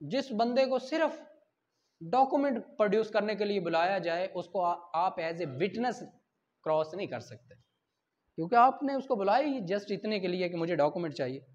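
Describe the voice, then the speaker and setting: High-pitched Pakistani male law lecturer, muffled recording. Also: lively intonation, moderate pace. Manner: informal, switches into English to read out legal text; asks questions and answers them himself. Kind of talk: classroom lecture, explaining articles point by point.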